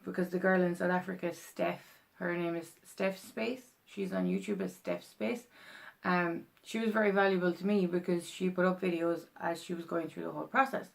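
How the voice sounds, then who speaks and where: A woman's voice: on the low side, moderate pace, creaky. A young Irish woman vlogging in a rambling, chatty way, full of ums and restarts as she explains a process. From Ireland. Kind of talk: casual explainer vlog.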